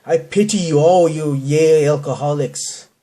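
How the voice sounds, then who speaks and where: A man's voice: on the high side, a little slow, warm tone. A man from Bangladesh with a Bangladeshi accent, hosting a podcast in a rambling, self-deprecating monologue that keeps trailing off and restarting. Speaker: podcast host.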